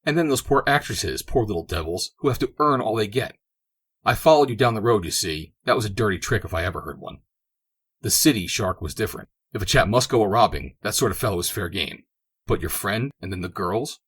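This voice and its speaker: A man's voice: on the low side, lively intonation, fairly quick, fairly bright tone, husky. A man voicing an indignant young man who talks with heated emphasis. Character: angry young man confronting a friend.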